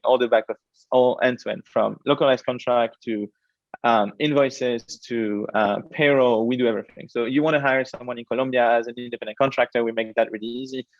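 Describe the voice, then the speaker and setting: Animated young man from France, lo-fi audio. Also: mid-range voice, no room sound. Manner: fast, animated, with frequent fillers. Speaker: startup co-founder. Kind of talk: conversational interview answers. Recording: dull, dry lo-fi audio.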